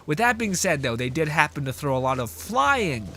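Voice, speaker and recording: A man's voice: a little high, sing-song, moderate pace, sharp tone. Playful, swearing male game streamer. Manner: playful, rambling, swears, laughs a lot. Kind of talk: casual livestream commentary.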